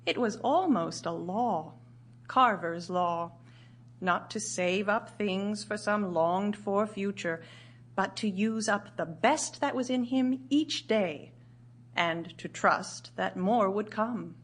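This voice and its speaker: An actress playing a grieving widowed writer, narrating in a measured, solemn, literary way for audio theatre. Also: female voice, mid-range voice. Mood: solemn, reflective. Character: grieving widowed woman writer recounting her husband's last year.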